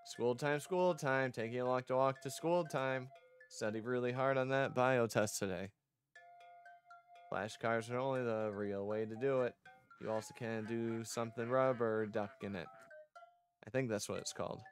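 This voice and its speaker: A man's voice: medium pitch, moderate pace. Man reading game dialogue aloud over music. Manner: acts out lines, stumbles over words. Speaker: streamer reading a visual novel aloud. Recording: music behind the voice.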